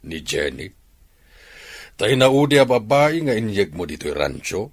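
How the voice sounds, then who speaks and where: Deep, husky older Filipino father. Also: big pitch swings, unhurried, heavily acted. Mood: measured, concerned. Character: older father, measured and advising. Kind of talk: radio drama acting.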